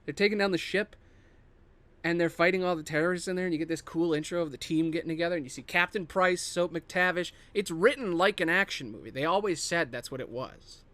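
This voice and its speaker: Male podcast host, higher-pitched voice, off-the-cuff and self-interrupting, loose and sweary. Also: a little fast, a bit bright. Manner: rambling, thinking aloud, self-interrupting; casually profane. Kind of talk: casual improvised solo monologue pitching film adaptations.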